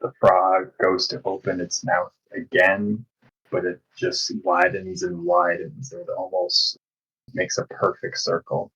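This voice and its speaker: Male game master, low and husky, unhurried scene narration. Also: a bit bright, muffled recording.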